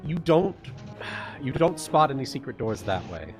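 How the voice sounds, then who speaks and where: A man acting as game master, narrating a tabletop game casually in a halting way. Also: mid-range voice, sing-song. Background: loud music.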